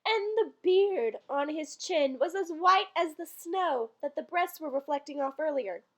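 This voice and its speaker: Woman, strikingly high pitch, animated intonation, dramatic poem reading, comedic, dry asides. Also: measured pace. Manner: theatrical storytelling delivery broken by dry, quieter asides. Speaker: reader.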